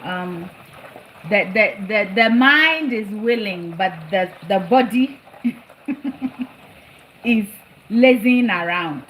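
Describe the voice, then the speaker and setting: Slow-paced woman with a Jersey-tinged accent. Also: mid-range voice, animated, fairly bright tone, low-quality recording. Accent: New Jersey-influenced. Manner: conversational, repeats phrases for emphasis. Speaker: live-stream cooking host. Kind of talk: casual live chat with viewers while cooking. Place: home kitchen. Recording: food frying and boiling noise behind. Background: sizzling, bubbling cooking sounds.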